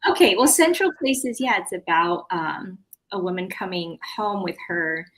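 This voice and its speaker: American female author as interview guest, lowish pitch, casual, hesitant, self-interrupting delivery. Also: expressive, normal speed. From California, United States.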